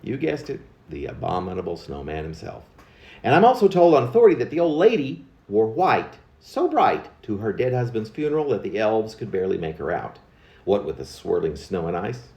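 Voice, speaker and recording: A man's voice: lowish voice, big pitch swings, brisk, creaky, dark tone, roomy sound, dull audio. A man reciting his own humorous narrative poem in a wry, confiding way, like a storyteller passing on gossip. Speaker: poet reading own work.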